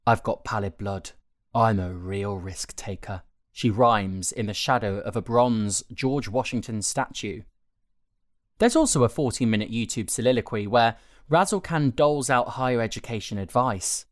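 Measured male article narrator, close dry sound. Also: on the low side, no room sound. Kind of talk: scripted audio article narration.

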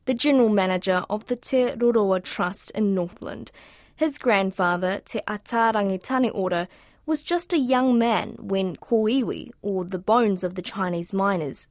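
Woman, radio presenter, measured narration, dry close sound. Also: mid-range voice, no echo. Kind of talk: scripted radio narration and interview.

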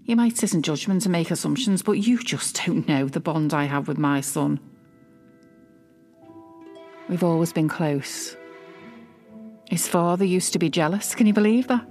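A woman's voice: on the low side, expressive, a little mellow, faint music behind. A woman playing an obsessively doting, self-justifying middle-aged British mother in a confessional audio drama, speaking intimately and defensively. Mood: defensive, scornful. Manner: intimate, defensive, hesitant pauses. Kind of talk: dramatic monologue, confessional fiction podcast.

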